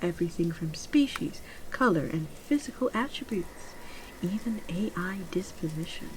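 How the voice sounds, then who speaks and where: A woman playing a polite, genteel pet shop saleswoman in a soft-spoken ASMR roleplay, measured and often near a whisper. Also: fairly low pitch, animated, close to an everyday voice, poor audio. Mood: informative, reassuring. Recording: clearly audible ambient background noise.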